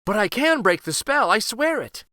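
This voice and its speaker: A man's voice: sits high, big pitch swings, moderate pace, sharp tone, no room sound, studio quality, voice pushed up, heavily acted. A man voicing a polite, brave young peasant woman in a fairytale read aloud. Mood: pleading. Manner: polite, pleading.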